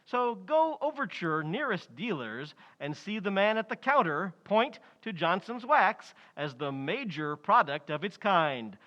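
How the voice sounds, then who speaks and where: Radio comedy: actor as jokey male pitchman, high voice, hearty salesmanship, long hall reverb. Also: sing-song, a little slow, hardly any pauses, sharp tone, highs cut off, exaggerated voice. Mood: salesy. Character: chipper punning radio announcer and salesman. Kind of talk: old-time radio advertising and comedy.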